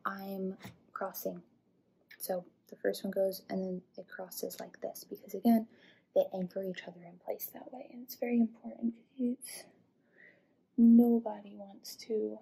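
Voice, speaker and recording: Husky gravelly young woman explaining hair pinning. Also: rough recording. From Midwest US, Illinois. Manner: chatty, explains while pinning her hair, slips into low whispery asides. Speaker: vintage hair tutorial host. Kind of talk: casual hair tutorial. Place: small room indoors. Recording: low, quiet audio.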